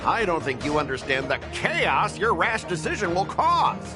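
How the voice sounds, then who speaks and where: An arrogant corporate manager from a video game. His voice sits high and swings widely as he blusters and talks down to people, with music playing underneath. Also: huge pitch swings, normal speed. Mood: smug, condescending. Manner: condescending, blustering. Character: arrogant, hot-tempered corporate transport manager, bullying and pompous.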